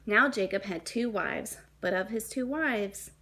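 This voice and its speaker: Woman reading a children's storybook expressively. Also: mid-range voice. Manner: dramatic, deliberate phrasing with hushed and emphatic moments.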